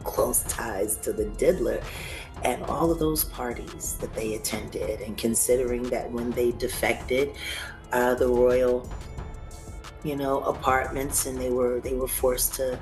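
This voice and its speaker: A woman's voice: extremely low pitch, fairly even intonation, measured pace, darkish tone, soft background music. A woman giving commentary, building her point clause upon clause.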